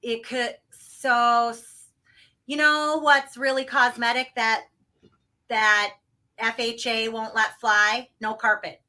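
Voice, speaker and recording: Guest: woman, bright higher voice, explains in halting steps with lots of ums. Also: little pitch movement. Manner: hesitant, full of fillers, explaining step by step. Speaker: guest, home financing expert. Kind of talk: casual podcast interview.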